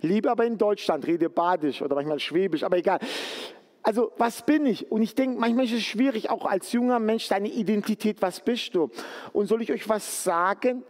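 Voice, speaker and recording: A man from Turkey delivers a sermon in a church hall, speaking earnestly and conversationally, sometimes pleading with his listeners. His voice sits high for a man. A long reverb from the hall trails after his words. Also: moderate pace, darkish tone. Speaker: preacher.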